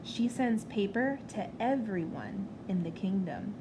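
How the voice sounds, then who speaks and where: A woman voicing a gentle, playful father in a children's storybook. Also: medium pitch, expressive, a little slow, background noise, close to an everyday voice. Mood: reassuring.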